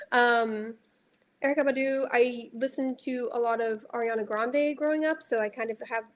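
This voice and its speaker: A young woman from Dallas with a light, high voice, a music artist talking reflectively about her songs and filling her speech with casual fillers. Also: steady tone, unhurried, a little hiss or hum. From Dallas, Texas. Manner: reflective, heavy use of filler words. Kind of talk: casual interview chat.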